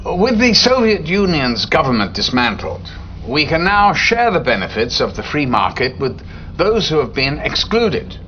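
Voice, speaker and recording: A man's voice: mid-range voice, slight background noise. A male actor playing a complacent politician in a video game, giving glib reassurances as if to the press. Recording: dull lo-fi game audio.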